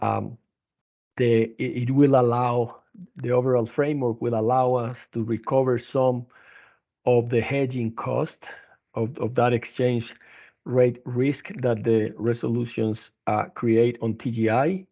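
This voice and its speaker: A man presenting company financial results as an executive on an earnings conference call, speaking haltingly with frequent restarts. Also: mid-range voice, level tone. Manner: halting, hesitant delivery with repeated restarts. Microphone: telephone conference line. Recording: narrow, tinny phone-line conference call.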